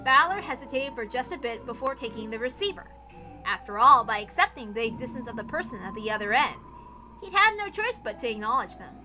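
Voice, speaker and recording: A woman's voice: fairly high pitch, big pitch swings, brisk, pushed and bright, faint music behind. A woman reading a visual novel aloud as a dramatic narrator. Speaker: narrator, story reader.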